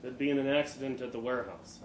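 A man giving an expressive live reading of a first-person short story, with dramatic pauses and the odd stumble. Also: mid-range voice. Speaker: story reader. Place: live storytelling event in a pub room. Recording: echoey live venue, faint background noise.

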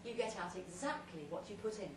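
A woman reads a short story aloud at a live event, delivering it theatrically in measured, deliberate phrases. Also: medium pitch, animated. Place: pub event room, read aloud live. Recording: roomy, distant live recording with faint noise.

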